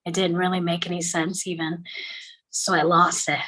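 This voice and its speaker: Raspy-edged, bright-voiced grieving mother, acted by a woman. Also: fairly low pitch, little pitch movement, rough recording. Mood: wistful, animated. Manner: halting, emotional, drops into whispers. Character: grieving mother recalling her young son, anguished but tender. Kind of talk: dramatic table read of a screenplay.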